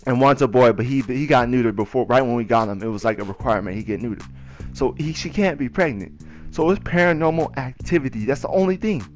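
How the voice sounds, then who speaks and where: A young man telling personal stories in a casual, rambling, slangy and excitable way. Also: medium pitch. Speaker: video creator telling personal ghost stories. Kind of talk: casual storytelling over gameplay. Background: music.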